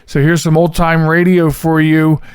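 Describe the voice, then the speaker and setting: A man's voice: on the high side, little pitch movement, normal speed, clean tone, no room sound, studio quality. A man hosting a podcast, talking casually and rambling a little as he introduces the show. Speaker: podcast host.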